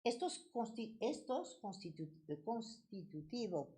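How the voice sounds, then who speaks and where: A woman's voice: fairly high pitch, very animated, slow, dark tone. A woman with a French accent giving a passionate political talk at a debate. She often restarts words and hesitates. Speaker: debate speaker.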